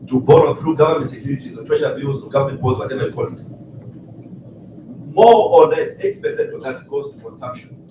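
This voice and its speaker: A man's voice: a little high, background noise. A man arguing a point emphatically at a panel discussion in a conference hall. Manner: emphatic, argumentative. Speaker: panel speaker. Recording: echoey hall, distant mic, muffled.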